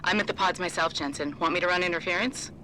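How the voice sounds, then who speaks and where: A woman's voice: lowish voice, fast talker, background noise, close to an everyday voice. An actress playing a tough, guarded female pilot who swears often in a video game cutscene. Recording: distant voice with long reverb over music.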